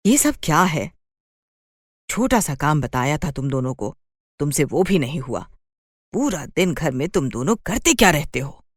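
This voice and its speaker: Narrator as a low-voiced, animated busy mother. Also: unhurried, dry recording, studio quality, exaggerated voice. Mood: scolding. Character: hard-working village mother, scolding yet soft-hearted. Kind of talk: children's cartoon story voice acting.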